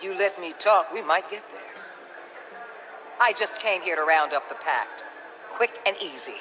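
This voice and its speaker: A woman's voice: fairly low pitch, lively intonation, measured pace, music in the background. A woman playing a hard-nosed government agency chief in a video game, blunt, authoritative and combative. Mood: defensive. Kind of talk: dramatic video game acting.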